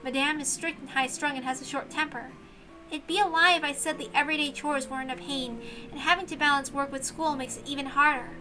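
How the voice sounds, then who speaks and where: A woman voicing a polite young servant girl, humble but resolute, with soft, courteous, earnest speech. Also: high-pitched, fairly even intonation, brisk, music in the background, lightly acted, poor audio.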